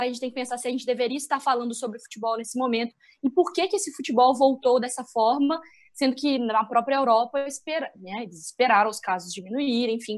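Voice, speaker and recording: Brief: woman, Minas Gerais accent, slightly high voice, animated and opinionated sports pundit on a video call. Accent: Minas Gerais Portuguese. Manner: animated, opinionated, thinks aloud with restarts. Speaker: sports journalist guest. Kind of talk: casual video-call discussion.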